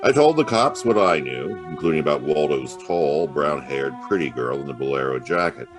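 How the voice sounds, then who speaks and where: An actor playing a wry, hard-boiled private detective in a noir audio drama, narrating and talking in terse slang. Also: male voice, rumbling low, animated, normal speed, music in the background. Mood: matter-of-fact narration.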